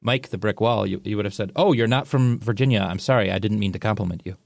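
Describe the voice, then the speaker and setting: A man with a low, gravelly voice chats casually and drops teasing, wry one-liners. The sound is dry and close, with no room around it. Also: quick pace, dry recording. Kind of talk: casual chat.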